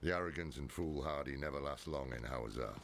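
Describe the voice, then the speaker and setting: An actor playing a gruff, weary old scholar and blunt mentor in a dark fantasy game, with dry, curt delivery. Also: male voice, fast, a little hiss or hum. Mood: wry, reflective. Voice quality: very gravelly, rumbling.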